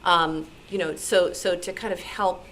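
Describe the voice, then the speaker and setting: A woman's voice: lowish voice, steady tone, a little slow, a bit bright. An American woman speaking informally and enthusiastically at a club meeting, answering questions as a radio programmer. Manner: enthusiastic, conversational. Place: club meeting, live room. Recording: noisy live meeting-room recording.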